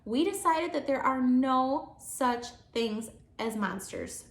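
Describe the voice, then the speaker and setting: A patient grandmother, voiced by a woman reading a storybook, high in pitch and a little weary. She reasons firmly, in an echoey room. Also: lively intonation, slow, creaky, full character voice. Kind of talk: children's storybook read-aloud.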